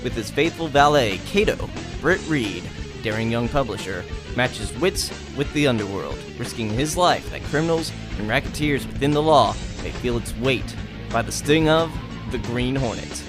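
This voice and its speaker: A male announcer narrates in the dramatic style of an old radio serial. His mid-pitched voice sits over clearly audible music. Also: animated, moderate pace.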